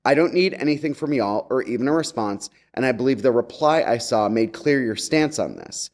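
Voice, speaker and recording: A man's voice: mid-range voice, lively intonation, fairly quick, a little mellow. A man giving a conversational message to a congregation as a guest speaker, chatty and wry, with campy slang.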